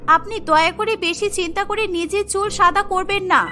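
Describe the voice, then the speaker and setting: A woman voicing a haughty, irritable young daughter-in-law in a cartoon, with a sharp, defiant way of talking. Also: very high-pitched, fairly even intonation, normal speed, faint music behind. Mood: irritated. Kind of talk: Bengali cartoon voice acting.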